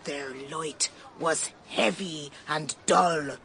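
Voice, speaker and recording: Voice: medium pitch, very slow, vocal fry, sharp tone, slight background noise, full character voice. An actor in a radio drama playing a ranting old soothsayer who sells almanacs, with a portentous, declamatory manner. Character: ranting doom-mongering old street soothsayer and almanac seller.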